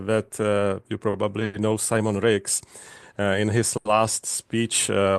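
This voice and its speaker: A middle-aged man with a Czech accent gives a technical presentation online, speaking haltingly with frequent pauses and repeated words. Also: on the low side, no echo. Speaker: conference speaker, database specialist. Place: indoors, online video call.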